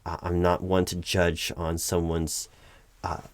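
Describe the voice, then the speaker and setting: A patient, slightly baffled corporate recruiter, played by a man in an audio roleplay interview. His voice sits on the low side, recorded close and dry. He stammers and restarts as he picks diplomatic words. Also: monotone, takes it slowly, no room sound. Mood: diplomatic, puzzled. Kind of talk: one-sided spoken ASMR roleplay interview.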